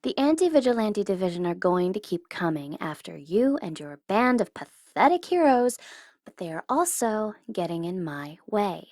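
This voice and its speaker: A woman's voice: medium pitch, very animated, moderate pace, a little mellow, no room sound, studio quality, lightly acted. A woman playing a smug, secretly tender female supervillain mastermind in an intimate, theatrical ASMR roleplay, teasing and easily flustered. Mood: smug, commanding.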